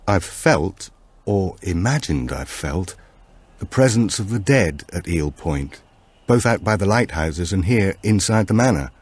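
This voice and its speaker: A man's voice: low-pitched, animated, measured pace, breathy edge, full character voice. A man voicing a subdued grieving husband, polite and hesitant, in a thriller audiobook. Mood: hesitant, curious. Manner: quiet, hesitant.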